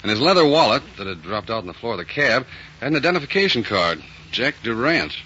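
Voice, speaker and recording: Terse, forceful FBI investigator. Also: male voice, medium pitch, sing-song, quick pace, breathy edge, exaggerated voice. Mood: matter-of-fact. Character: terse, matter-of-fact male FBI investigator. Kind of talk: 1940s radio crime drama acting. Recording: dull, faintly noisy 1940s radio recording.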